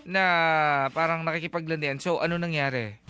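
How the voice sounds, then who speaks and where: A man's voice: a little high, fairly even intonation, brisk, bright, forceful tone, clear, resonant tone. A man with a Filipino accent chatting casually as co-host of a radio call-in show. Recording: music bed behind the voice.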